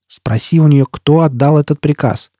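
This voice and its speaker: A man's voice: a little high, normal speed, mellow, dry recording, studio quality, natural voice. A man voicing an enraged, desperate, vengeful man in an audiobook, with a harsh, commanding, embittered manner. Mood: insistent. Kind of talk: audiobook character acting.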